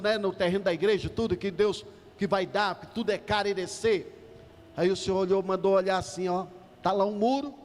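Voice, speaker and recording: A middle-aged man from Salvador, preaching in church and calling out to the congregation. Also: sits high. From Salvador, Bahia, Brazil. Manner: emphatic, calls out to the congregation. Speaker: pastor. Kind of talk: preaching a sermon. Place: church hall. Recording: church hall reverb.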